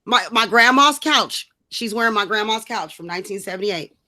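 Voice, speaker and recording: Bright, blunt, sassy woman co-host. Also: medium pitch, expressive, normal speed. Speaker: show co-host. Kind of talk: casual gossip chat, profane and joking.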